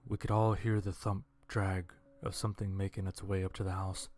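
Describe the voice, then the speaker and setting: A man's voice: low voice, little pitch movement, moderate pace, darkish tone, a little hiss or hum. A man narrating a scripted first-person horror-comedy story in a dry, wry manner.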